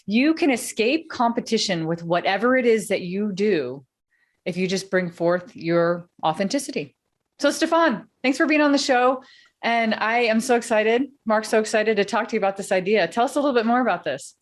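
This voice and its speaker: A woman co-hosts a podcast and opens the show with an upbeat introduction. She talks casually and with eager excitement. Her voice sits in a middle range for a woman. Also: medium pitch, moderate pace. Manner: upbeat, hypes the guest, casual "y'all". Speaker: podcast co-host.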